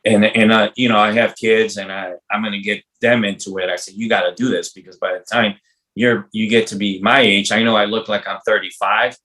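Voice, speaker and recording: A man's voice: on the low side, fairly even intonation, brisk, pushed and bright, poor audio. A man in his fifties hosting a podcast, chatting informally and loosely with slang and repeated words. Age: 50s. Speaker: podcast host. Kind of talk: casual, rambling interview chat.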